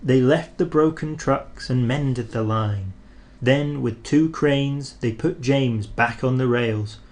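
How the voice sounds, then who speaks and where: A man reading a children's storybook aloud in a gentle, expressive narrating voice. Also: medium pitch, slow pace.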